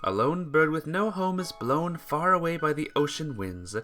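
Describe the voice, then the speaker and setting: A playthrough host reading a visual novel aloud as expressive, dramatic scripted narration. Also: medium pitch, normal speed, soft background music. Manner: dramatic reading, acts out dialogue.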